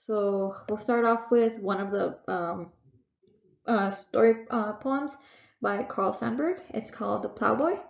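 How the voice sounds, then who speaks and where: A young woman with a Texan accent and a congested nose, tutoring students in a casual, halting way full of fillers and restarts. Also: mid-range voice, vocal fry. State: congested, sniffling. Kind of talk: recorded online reading lesson. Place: at home. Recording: echoey, dull lo-fi home recording.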